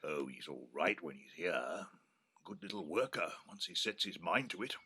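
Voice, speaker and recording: A man's voice: low voice, normal speed, bright, forceful tone, breathy edge, full character voice. An older man with an English accent playing a dry, stubborn elderly gardener in an audio play, speaking in clipped phrases. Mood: matter-of-fact. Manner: dry, clipped, lecturing on plants. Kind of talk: naturalistic audio play acting. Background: birdsong.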